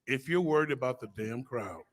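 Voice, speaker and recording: A man's voice: mid-range voice, lively intonation. A middle-aged American man, a former football player, arguing on a sports panel, cutting in and repeating his words. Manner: argumentative, interrupting, halting repeats. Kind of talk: heated sports-panel banter.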